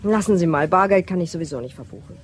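An actress playing a firm, officious but polite property administrator in a German crime radio play, speaking formally. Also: female voice, fairly low pitch, lively intonation, fast talker, slight background noise, lightly acted. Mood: relenting. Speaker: actor.

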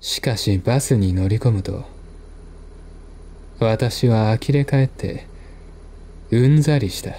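A man reading a mystery novel as narrator, with measured, deliberate, dramatic storytelling. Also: on the low side, mellow. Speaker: narrator and reader.

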